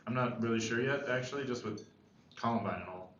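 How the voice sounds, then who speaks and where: A man playing an earnest, hesitant high school senior boy in a screenplay table read. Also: brisk, fairly bright tone, lightly acted. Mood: candid, hesitant. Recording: echoey, dull, faintly noisy room recording.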